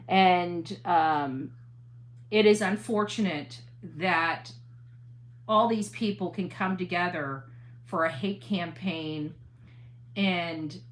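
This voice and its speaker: A woman speaking earnestly to an audience as a commentator, halting and emphatic, repeating phrases to press her point. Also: lowish voice. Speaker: interviewee, online true crime commentator.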